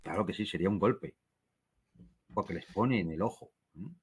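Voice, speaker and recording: A man's voice: sits low, brisk. A man speaking as a guest analyst, giving conversational political commentary.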